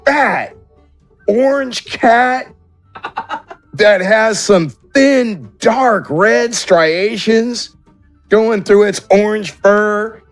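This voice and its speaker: A man's voice: high-pitched, very slow, soft background music. A man running a tabletop game as game master, narrating in a conversational, descriptive way.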